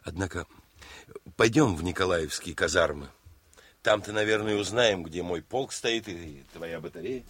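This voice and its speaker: An actor playing a seasoned army lieutenant, a fond and sober elder brother, with measured, courteous delivery in radio drama style. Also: male voice, deep voice, fairly bright tone, slight background noise. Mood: calm, matter-of-fact. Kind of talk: theatrical radio drama acting. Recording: radio play with faint music.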